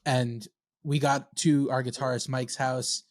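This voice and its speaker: A man's voice: mid-range voice, little pitch movement, a little slow, dry recording, studio quality. A man, a guest band member, telling rambling self-deprecating stories in a casual podcast chat.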